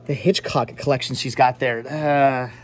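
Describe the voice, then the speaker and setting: A man's voice: mid-range voice, steady tone, unhurried. A man in his forties chatting casually and playfully to a handheld camera in a shop, often interrupting himself as he rambles. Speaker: vlogger and movie collector. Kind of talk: casual chatty shopping vlog. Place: indoor video and game shop. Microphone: handheld camera at arm's length.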